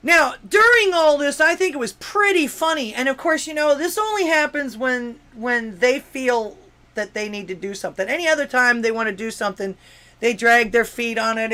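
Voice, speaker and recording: A live call-in show host from Miami, riffing on the news in a sarcastic, ranting way. The voice sits at a middling pitch. The delivery trips over itself with restarts and fillers, and questions keep landing as jabs. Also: moderate pace, pushed and bright. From Miami, Florida, USA. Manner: stumbling restarts and many fillers. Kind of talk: political commentary, reading news and chat messages aloud.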